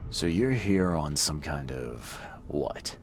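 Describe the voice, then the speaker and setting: A man's voice: rumbling low, lively intonation, slow, a little mellow, slight background noise, full character voice. An actor playing a world-weary, suspicious male investigator in a video game, terse, dry and guarded. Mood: dry, probing. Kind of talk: understated video game cutscene acting.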